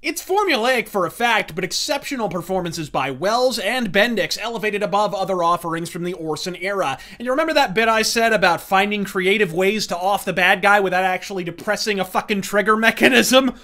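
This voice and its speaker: Guy doing a sweary scripted rant review, high forceful voice, bombastic and sarcastic. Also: expressive, a little fast, hardly any pauses. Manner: bombastic, sardonic, profane, wordy. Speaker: host, reviewer. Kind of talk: scripted rant-style commentary.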